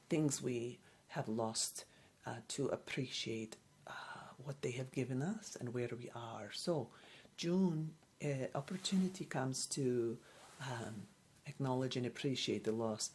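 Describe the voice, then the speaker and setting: A woman playing a gentle, unhurried female card reader in a soft-spoken ASMR role play, halting and reassuring as she goes. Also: very deep voice, slight background noise. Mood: soothing, tender. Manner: halting, hesitant, reassuring, short simple phrases.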